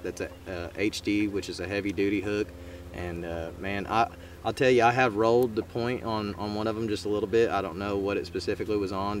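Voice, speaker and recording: A man, co-hosting a fishing channel and explaining gear in a relaxed, rambling way with many fillers. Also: low-pitched. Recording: slightly distant mic with faint noise.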